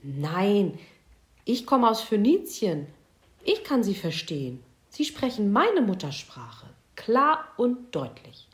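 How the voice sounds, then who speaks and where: An astonished visitor from abroad, acted by a woman exclaims with eager emphasis. The pitch leaps high and the delivery stays slow. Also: sing-song, reverberant. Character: amazed festival visitor from Phoenicia.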